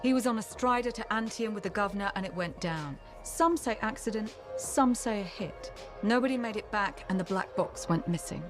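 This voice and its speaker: A female actor plays a shrewd bar owner and dealer in a video game. Her mid-pitched voice comes in casual, clipped phrases with a worldly edge. Music plays clearly behind her. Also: normal speed, natural voice. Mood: matter-of-fact, shrewd. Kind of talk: video game dialogue acting.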